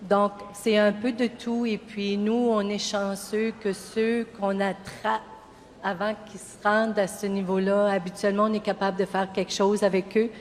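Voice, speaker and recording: A woman's voice: mid-range voice, flat delivery, normal speed. A woman speaking earnestly and hesitantly as a youth prevention worker on a panel. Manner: earnest, hesitant, many fillers. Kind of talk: panel discussion. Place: public forum in a college hall. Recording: reverberant hall, faint room noise.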